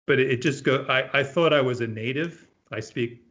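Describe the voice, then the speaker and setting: A middle-aged man from Puerto Rico, a physician being interviewed, speaking in an earnest, thoughtful way with frequent pauses and restarts. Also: medium pitch, a bit bright, muffled recording. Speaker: guest, emergency physician. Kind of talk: reflective interview conversation.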